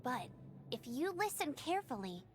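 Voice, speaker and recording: A woman's voice: high-pitched, very animated, moderate pace, noisy background. A woman playing a plucky, brave young female knight scout in a video game, earnest and spirited, with a girlish voice. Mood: resolute. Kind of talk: video game character acting.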